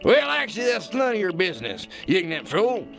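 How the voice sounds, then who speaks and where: An actor playing a pompous, folksy, bullying kingpin boss who boasts in a drawl, in a satirical radio drama. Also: male voice, high voice, huge pitch swings, fast talker, vocal fry, fairly bright tone, faint music behind, full character voice. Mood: irritable, domineering.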